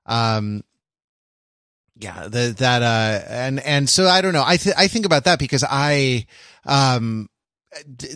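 A man's voice: medium pitch, slow pace, long pauses, a bit bright, smooth, no echo, studio quality. A man co-hosting a podcast in casual, jokey banter, wandering into bookish digressions. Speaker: podcast co-host.

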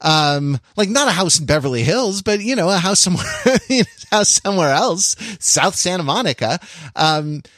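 A man's voice: high-pitched, sing-song, moderate pace, fairly bright tone, no echo, clean studio sound. American man rambling in casual podcast discussion. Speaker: podcast co-host.

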